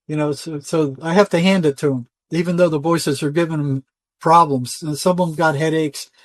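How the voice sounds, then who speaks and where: Older guy, kinda high voice, rambly casual storytelling, pretty dry sound with little echo. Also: steady tone, fairly quick. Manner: rambling, colloquial, acts out quoted dialogue. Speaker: interview guest, retired psychotherapist. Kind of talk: conversational storytelling, casual interview.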